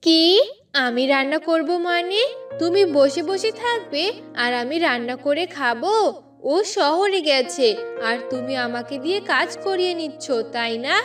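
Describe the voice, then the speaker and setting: A woman's voice: sits high, animated, measured pace, recorded from a distance, over music. A woman voicing a spiteful, bossy young wife in a cartoon fairy tale, with a whiny, scolding manner. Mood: angry.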